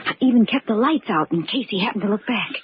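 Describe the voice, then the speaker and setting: An actress playing an eager, loyal confidante in a 1940s radio mystery, earnest and insistent in a theatrical way. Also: female voice, medium pitch, animated, fast talker, close to an everyday voice. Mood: earnest, confiding. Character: eager loyal woman confidante turned amateur sleuth. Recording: dull, noisy old radio recording.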